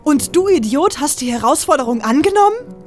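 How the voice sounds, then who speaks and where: An actress plays a blunt female fighter in a fantasy audio drama. The voice is fairly high and direct, over a bed of music. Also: very animated, brisk. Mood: scolding. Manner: direct, scolding, argumentative. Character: blunt, sharp-tongued female fighter and friend. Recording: music under the voice.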